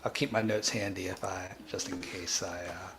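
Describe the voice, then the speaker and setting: A man hosting a live coding tutorial, thinking aloud in a halting, informal way and often restarting his sentences. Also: low voice, steady tone, noisy background. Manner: halting, self-interrupting, informal. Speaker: livestream host, programming instructor.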